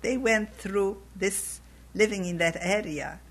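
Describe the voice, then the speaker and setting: A woman's voice: medium pitch, slow pace, husky. An older woman from Poland, recalling her youth in an oral history interview, her voice scratchy from a cold. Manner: reflective, animated when recalling youth.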